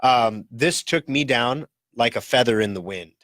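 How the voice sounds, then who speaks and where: A man's voice: medium pitch, measured pace, fairly bright tone, dry recording. Scratchy-voiced male radio host with a cold. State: voice rough from a cold. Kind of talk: casual talk radio.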